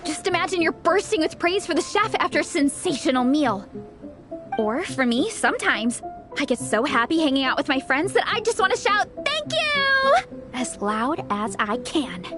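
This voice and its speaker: Bubbly, high-voiced young team runner. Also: female voice, very animated, moderate pace. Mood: enthusiastic, earnest. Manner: exclamatory, bubbly. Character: peppy, enthusiastic young female team runner, earnest cheerleader. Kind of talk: anime game character acting. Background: game music.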